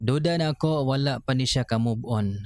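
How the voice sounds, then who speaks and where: A man's voice: medium pitch, normal speed. A man with a Visayan accent chattily reading a listener's letter as host of a live radio advice show, addressing the listener like a buddy. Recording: loud guitar music behind the voice. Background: plucked guitar music.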